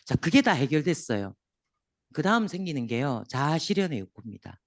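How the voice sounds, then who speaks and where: A man with a hoarse, sore throat lecturing a room of business owners, joking and asking the audience questions as he goes. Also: on the high side, fairly quick, no echo, studio quality. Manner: lively, jokes with the audience, asks questions. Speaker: seminar lecturer.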